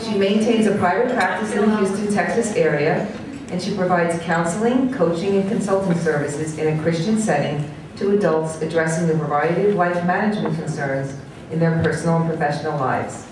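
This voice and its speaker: A woman introduces a keynote speaker to a conference audience in a measured, formal reading. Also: on the low side, noisy background. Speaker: event organizer introducing the speaker. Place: large conference hall. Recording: very echoey hall, voice from a distance.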